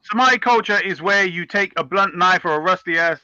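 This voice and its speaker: A man's voice: high voice, fairly quick, a crowd in the background. A man hosting a heated casual debate, blunt and provocative, pressing his points with "bruv". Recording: muffled stream with faint noise.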